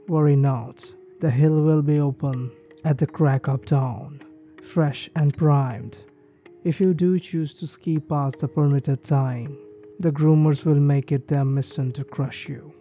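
A man reading a creepypasta list of ski resort rules in a steady, measured scripted narration. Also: a little high. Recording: faint background music.

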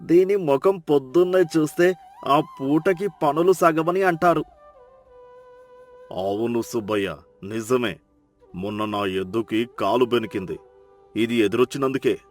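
A man's voice: medium pitch, a little slow, pauses often, a little mellow, soft background music, lightly acted. A man voicing a superstitious, spiteful village elder who gossips in a grumbling, disparaging way. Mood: scornful.

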